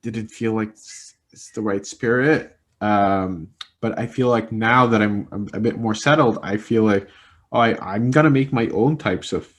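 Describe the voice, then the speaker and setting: A young man from Egypt whose first language is Arabic, answering interview questions casually with lots of fillers and restarts. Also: lowish voice. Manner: rambling, many fillers and restarts. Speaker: interviewee, communication specialist.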